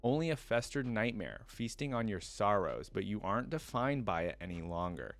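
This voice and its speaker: A man voicing a stoic masked demon hunter in a fantasy story, speaking solemnly and deliberately. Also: on the low side, very animated, creaky.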